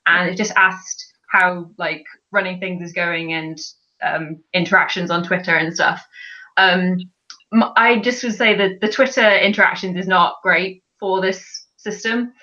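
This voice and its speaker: A woman's voice: fairly low pitch, steady tone, unhurried, sharp tone. A woman hosting a livestream, chatting casually and laughing often. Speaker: livestream host. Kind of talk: casual group chat. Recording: dull lo-fi stream audio.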